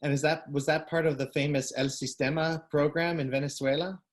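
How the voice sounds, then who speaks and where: Conductor hosting an online interview. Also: male voice, on the high side, level tone, a little fast. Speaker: host, music director and conductor.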